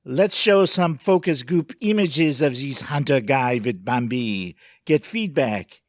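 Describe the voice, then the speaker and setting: Slow, indignant Frenchman. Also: medium pitch, expressive, no room sound, clean studio sound. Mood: insistent. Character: indignant, proud French commercial director, broken English. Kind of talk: comic audio theatre acting.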